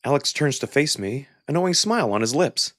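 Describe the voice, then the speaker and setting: A man giving an expressive, dramatic first-person narration of a visual novel. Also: mid-range voice, brisk, slight background noise. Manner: expressive, acted-out delivery. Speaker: narrator and voice performer.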